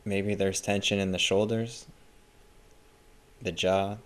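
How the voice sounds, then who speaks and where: A man leading a guided meditation as a scripted instruction, speaking in a calm, measured and gently instructive way. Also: low voice.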